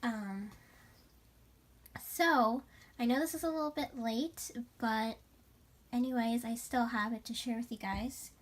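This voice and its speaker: A young woman vlogger with a raspy, stuffed-up voice, chatting bubbly and casually through a shopping haul. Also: a little high, measured pace, long pauses. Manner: bubbly and chatty, many fillers. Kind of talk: casual haul vlog chat.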